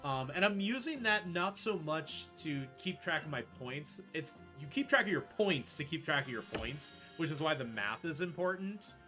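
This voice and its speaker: A man's voice: a little high, expressive, moderate pace, few pauses. A man giving casual, explanatory live commentary while he plays through a video game speedrun. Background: video game music.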